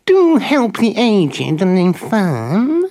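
A man voicing an old blind cat beggar in a storybook reading, speaking in a slow pleading whine. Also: high-pitched, huge pitch swings, warm tone, pitched above the performer's own voice, full character voice.

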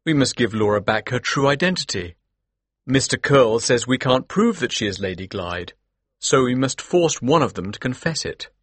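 An actor playing an earnest, determined gentleman who tells a Victorian mystery in the first person, with a husky voice. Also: medium pitch, animated, moderate pace, no echo, natural voice. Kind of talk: scripted first-person audiobook narration.

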